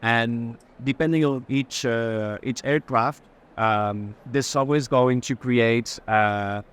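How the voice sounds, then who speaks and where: A man speaking as a gallerist, informally explaining artworks live, pausing often to find his words. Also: medium pitch, clean tone. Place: art fair booth.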